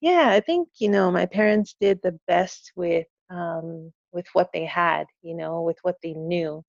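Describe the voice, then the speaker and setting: A woman from California, answering interview questions in a relaxed way with many fillers. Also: fairly low pitch, measured pace, no echo, lo-fi sound. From San Jose, California. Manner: relaxed, lots of "um" and "you know". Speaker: guest, pharmacist and business owner.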